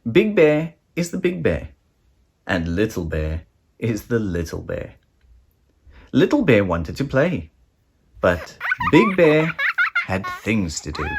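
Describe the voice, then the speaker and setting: A children's storybook narrator reads with a highly animated melody at an unhurried pace. The delivery is deliberate and expressive, with faint noise behind the voice. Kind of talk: expressive scripted read-aloud for young children. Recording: faint background noise with sound effects.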